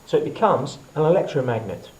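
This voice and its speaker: A man presenting a hands-on science demonstration as a teacher, explaining to camera in short, deliberate phrases. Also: on the high side, sing-song. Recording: clearly noisy recording with clicks.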